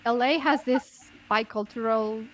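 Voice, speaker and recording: A woman with a fairly high voice lays out her view in a relaxed chat. She explains step by step and keeps softening her points with little hedges. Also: measured pace. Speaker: podcast guest. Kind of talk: casual pop-culture chat.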